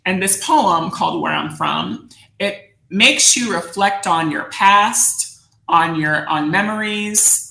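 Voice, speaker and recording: An American woman giving an instructional presentation in a deliberate, reflective manner, often posing questions to her listeners. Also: lowish voice, pushed and bright. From United States, New Jersey suburbs. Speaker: workshop presenter, educator. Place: indoor room, virtual session. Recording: roomy echo on the voice.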